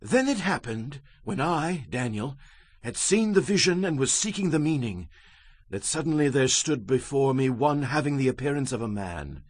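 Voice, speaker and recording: Husky, dramatic prophet recounting visions. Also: male voice, medium pitch, big pitch swings, normal speed, no echo. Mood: fearful, awed. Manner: solemn, dramatic, emphatic. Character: the prophet Daniel, man recounting awe-filled visions. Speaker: Bible narrator. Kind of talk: dramatized scripture reading.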